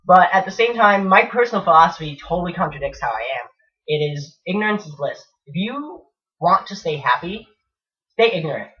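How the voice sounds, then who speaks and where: A man's voice: high-pitched, big pitch swings, brisk, bright, forceful tone. A young man co-hosting a casual chat show, talking frankly and mocking himself. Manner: frank, blunt and self-mocking. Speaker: co-host. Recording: distant mic, dull lo-fi sound.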